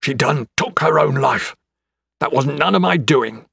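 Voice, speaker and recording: A man voicing a shifty, defensive lowlife in an audiobook, with rough, rustic speech. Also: lively intonation, unhurried, fairly bright tone, no echo, studio quality, exaggerated voice. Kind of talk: dramatic audiobook character acting.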